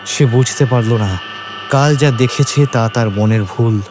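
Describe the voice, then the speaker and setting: A male narrator tells a horror tale in a mid-pitched voice. The delivery is scripted and dramatic, with light music underneath. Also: unhurried. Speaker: story narrator. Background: background music.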